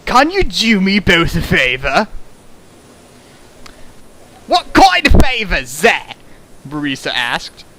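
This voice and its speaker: A man's voice: high voice, huge pitch swings, slow pace, pauses often, noisy background. A man reading a fan fiction aloud on a stream and mocking it with sarcastic, exasperated commentary. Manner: sarcastic, exasperated, shifts into mock voices. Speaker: fanfic reader and riffer. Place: home streaming setup.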